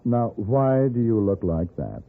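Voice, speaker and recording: An actor playing a cool, decisive gentleman gunfighter in a radio western, speaking in a clipped, authoritative way. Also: on the low side, big pitch swings, unhurried, gravelly, full character voice. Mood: composed. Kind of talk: 1950s radio western acting. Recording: narrow-band old radio, faint hiss.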